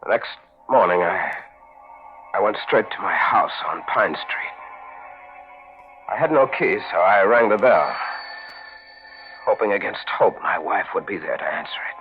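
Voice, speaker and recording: An actor playing a distraught, suspicious American husband in an intense, dramatic 1940s radio mystery style. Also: male voice, low-pitched, expressive, unhurried, pauses often, faint music behind. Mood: haunted narration. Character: distraught, suspicious husband searching for his vanished wife. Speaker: actor narrating as the lead. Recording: muffled old 1940s radio broadcast.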